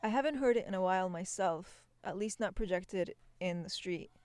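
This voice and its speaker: A woman hosting a podcast, reading scripted narration in a calm, explanatory manner on a close mic. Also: mid-range voice, moderate pace, no room sound. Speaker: podcast host.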